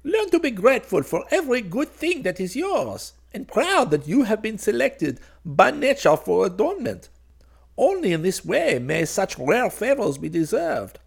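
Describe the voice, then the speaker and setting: A man's voice: high voice, big pitch swings, moderate pace, gravelly, husky, pitched above the performer's own voice, exaggerated voice. A man voicing a vain, pompous bird king in a storybook, speaking in a grandiose, gracious and self-admiring manner. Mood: gracious, preening. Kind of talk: storybook character voice.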